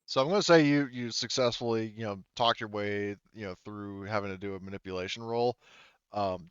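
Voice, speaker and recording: A man narrating and refereeing a tabletop roleplaying game as game master, in a halting, rambling way full of asides. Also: on the low side, a bit bright, clean tone, dry recording, muffled recording.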